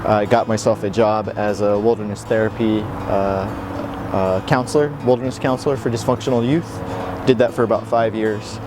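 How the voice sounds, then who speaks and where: A man who works outdoors, answering interview questions in a halting way with many fillers. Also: on the low side, fairly even intonation, noisy background. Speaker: outdoor counselor. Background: background music.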